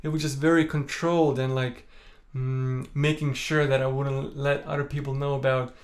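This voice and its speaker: A young man from Estonia, a native Estonian speaker, calmly telling a personal story to the camera in a small indoor room, full of "like" and "you know". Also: medium pitch, normal speed. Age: young adult. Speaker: vlogger. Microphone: camera in front at chest framing.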